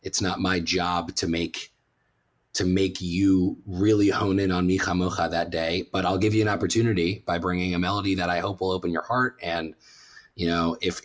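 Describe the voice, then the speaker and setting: Low-voiced man, earnest and halting. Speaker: guest rabbi and prayer leader. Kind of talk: reflective conversational interview answer.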